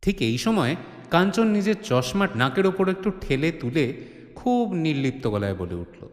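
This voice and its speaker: A man's voice: fairly high pitch, very animated, moderate pace. A man narrating a comic mystery audio drama as a dramatic scripted storyteller. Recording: roomy, long studio reverb.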